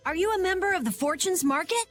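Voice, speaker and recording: Plucky young game heroine, high and animated. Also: female voice, quick pace, soft background music. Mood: matter-of-fact. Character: brisk, plucky young woman adventurer, quick to scold. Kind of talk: video game cutscene voice acting.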